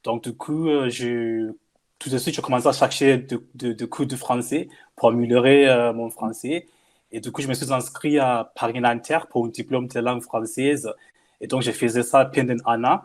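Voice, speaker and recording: A man from Zimbabwe, a native English speaker with a Zimbabwean English accent, giving a personal testimonial in halting non-native speech. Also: medium pitch, steady tone. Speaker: panel guest, former mentee.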